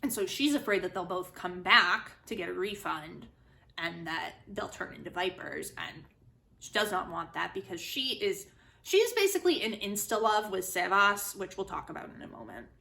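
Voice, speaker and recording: A woman giving a casual, chatty spoken book review, retelling and commenting in an animated way. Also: mid-range voice, moderate pace, creaky. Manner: animated, chatty, acts out quotes informally. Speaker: book reviewer, host of a literary video series.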